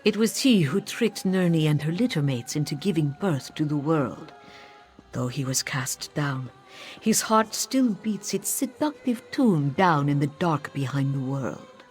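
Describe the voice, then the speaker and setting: A woman's voice: deep voice, animated, unhurried, darkish tone. A woman voicing a solemn cat-folk priestess in a fantasy game, speaking formally of herself in the third person. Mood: solemn, explaining. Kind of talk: scripted fantasy game dialogue. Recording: background music under the voice.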